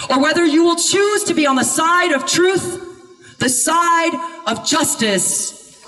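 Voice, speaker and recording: Reverberant and some way from the microphone, a woman speaks out as an activist at a vigil. Her voice is very high-pitched. She delivers a passionate protest address with emphatic, deliberate, declamatory phrasing. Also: a bit bright. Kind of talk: impassioned protest speech. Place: public vigil gathering, speaking to a crowd. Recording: echoey amplified speech from a distance.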